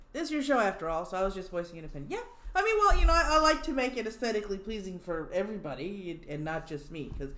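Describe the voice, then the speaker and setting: Chatty woman streamer in a roomy echo. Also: medium pitch. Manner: chatty, giggly, thinks aloud. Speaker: livestream host. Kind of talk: casual chat.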